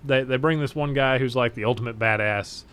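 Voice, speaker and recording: A man's voice: mid-range voice, a little fast, a bit bright, clear, resonant tone. A man co-hosting a casual podcast chat, laid-back and swearing freely when he gripes. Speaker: podcast co-host.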